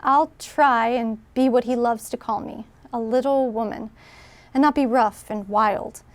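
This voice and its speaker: An actress playing a boisterous, impatient teenage tomboy in a dramatised storybook reading, brash and exclamatory. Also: female voice, fairly high pitch, steady tone, a little slow, dry recording, natural voice. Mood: earnest.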